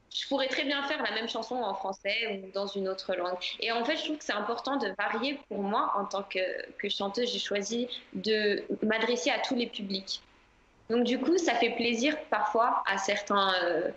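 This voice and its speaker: A woman's voice: on the high side, moderate pace, highs cut off. Chatty woman on a roomy remote line. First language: French. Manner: relaxed, chatty, many fillers. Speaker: singer, interview guest. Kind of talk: casual interview answers.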